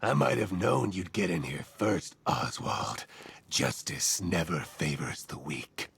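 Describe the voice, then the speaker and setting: A bitter American gangster villain for a video game, brooding and menacing. The voice is extremely low and gravelly, with taunts dragged out slowly over faint noise. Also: male voice, very animated, fairly bright tone, heavily acted. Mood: defiant. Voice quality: gravelly, growling. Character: bitter, menacing disfigured male gangster crime boss.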